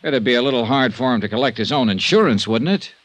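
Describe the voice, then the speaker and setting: A man's voice: medium pitch, expressive, fast, natural voice. An actor playing a cynical male insurance investigator with a wry, clipped delivery in a vintage radio drama. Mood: wry, skeptical. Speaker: lead actor and narrator. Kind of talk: 1950s radio detective acting.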